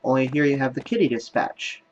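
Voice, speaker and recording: A man's voice: mid-range voice, fairly quick, dull audio, a little hiss or hum. A man hosting a casual let's play, thinking aloud in a rambling, self-deprecating way. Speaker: gaming video host. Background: game music and sounds.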